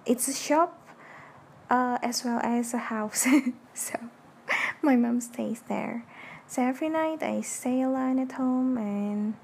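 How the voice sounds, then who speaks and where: A young Indonesian woman whose first language is Indonesian hosts her own podcast in a soft, hushed, slow way, talking casually from her bed late at night with a fan running in the room. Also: on the high side, gravelly. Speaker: podcast host. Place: dark bedroom at night with an electric fan running. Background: electric fan hum.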